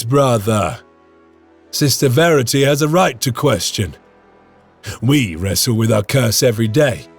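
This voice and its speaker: Veteran space marine lieutenant, gravelly, slow and grave, low voice, game audio over faint music. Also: male voice. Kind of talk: video game cutscene acting.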